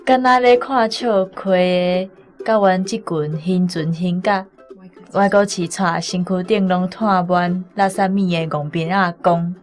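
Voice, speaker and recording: Slow-paced woman practicing phrases aloud. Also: on the low side, fairly even intonation, soft background music. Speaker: student on a language segment. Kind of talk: casual learner attempting translations aloud.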